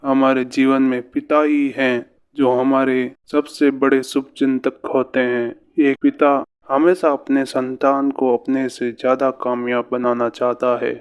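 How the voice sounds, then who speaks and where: A man playing an earnest, brave and curious young village son in a cartoon fairy tale, with deliberate storybook delivery. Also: medium pitch, level tone, clear, resonant tone, lightly acted. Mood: earnest, resolute.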